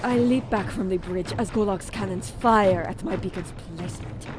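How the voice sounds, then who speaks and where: A woman's voice: mid-range voice, moderate pace, lightly acted. A woman playing a resolute alien military commander in an audio drama, grave and firm in both narration and orders. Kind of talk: audio drama acting with first-person narration. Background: music score under the voice.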